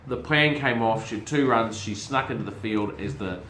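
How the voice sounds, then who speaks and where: A man's voice: on the low side, moderate pace, a bit bright, slight background noise. A middle-aged man, hosting a casual family chat show about horse racing, chatty and prone to repeating words while he searches for them. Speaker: racing show host and syndicate manager. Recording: roomy echo.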